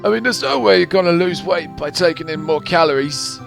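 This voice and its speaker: Man doing a bubbly young saleswoman, raised pitch, peppy slang, emphatic, game character, music behind. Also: expressive, brisk, creaky. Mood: earnest. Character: perky, chubby, dieting young woman in sales.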